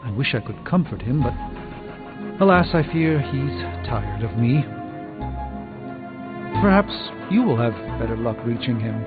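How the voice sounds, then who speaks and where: A grave, learned sorcerer hero speaks in formal, theatrical phrases with a haughty edge. The game audio is slightly dull, and loud music plays underneath. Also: male voice, medium pitch, expressive, measured pace, pauses often. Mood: grave, worried. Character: solemn, erudite, haughty male sorcerer superhero. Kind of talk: video game voice acting.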